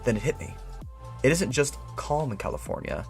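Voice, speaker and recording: A man voicing a brooding, suspicious employee, reading an eerie memo in a measured, musing and ominous way. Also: mid-range voice, sing-song, vocal fry, a little mellow, faint music behind. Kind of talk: dramatic reading of a written note.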